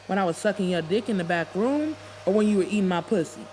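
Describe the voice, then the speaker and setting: A woman's voice: medium pitch, animated, fast, darkish tone, a little hiss or hum, lightly acted. A woman voicing a furious, foul-mouthed, scorned hairstylist in an audiobook, confrontational and emphatic. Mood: angry, mocking.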